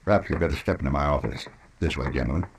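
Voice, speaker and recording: An actor playing a cautious, reluctantly obliging banker in a radio western, with genteel, hedging speech. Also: male voice, extremely low pitch, gravelly, background noise. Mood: uneasy, reluctant. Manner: genteel, hedging, deliberate phrasing. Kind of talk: old-time radio western acting.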